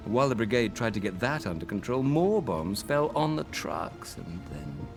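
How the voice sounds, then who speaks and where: A man's voice: lowish voice, very animated, normal speed, gravelly, over music. An actor playing a brave, self-deprecating young wartime man with dry understatement in a radio drama. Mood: shaken.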